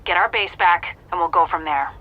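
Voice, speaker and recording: A woman voicing a serious, businesslike agent giving a measured mission briefing over the radio in a video game. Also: fairly low pitch. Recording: dull radio link with faint noise.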